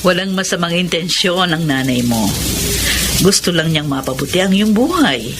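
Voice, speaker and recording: A woman's voice: on the low side, lively intonation, moderate pace, noisy background, lightly acted. A woman playing a suitor's coaxing, persuasive mother in a Filipino radio drama. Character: coaxing mother of the suitor, smooth-talking matchmaker.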